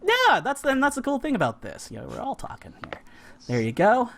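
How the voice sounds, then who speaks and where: A man's voice: extremely high pitch, wildly swooping pitch, a little fast, vocal fry, fairly bright tone, a little hiss or hum. A man hosting a board game stream, chatting casually and with enthusiasm as he plays. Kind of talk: casual chat while playing a board game.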